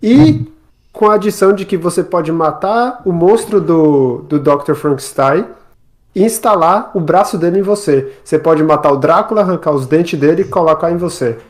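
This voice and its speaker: A man's voice: on the high side, moderate pace. A man co-hosting a live podcast, explaining things in casual conversation. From Salvador, Brazil. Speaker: podcast co-host.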